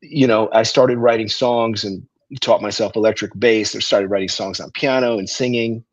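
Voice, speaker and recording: Low-voiced man telling his story. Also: moderate pace, dry recording, muffled recording. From Russia, raised in Cleveland, Ohio. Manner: relaxed storytelling with frequent um and uh. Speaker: guest musician. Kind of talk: casual interview talk. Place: restaurant.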